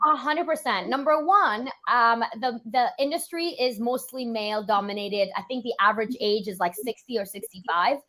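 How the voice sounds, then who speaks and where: A young woman from Europe, a financial planner, chatting quickly and casually with lots of "like" fillers. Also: a little high, bright, forceful tone. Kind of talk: casual interview chat.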